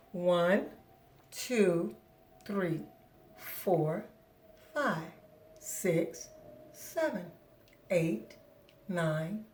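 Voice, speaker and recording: A woman's voice: a little high, slight background noise. A woman speaking as a teacher of young children, encouraging and patient, going step by step and posing questions to the listener. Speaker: preschool teacher. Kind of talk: children's lesson, asking and answering questions.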